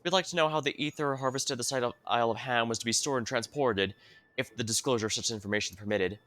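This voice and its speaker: A man's voice: mid-range voice, fast, fairly bright tone, natural voice. A man voicing a courteous video game traveller who speaks in formal, old-fashioned phrases.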